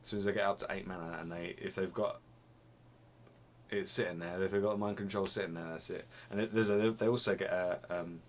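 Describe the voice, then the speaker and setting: Deep-voiced rambling young man, sniffly. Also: steady tone, brisk, a little hiss or hum. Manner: rambling, restarts phrases, laughs often. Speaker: vlogger. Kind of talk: casual chat to camera. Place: small home room with computer desk.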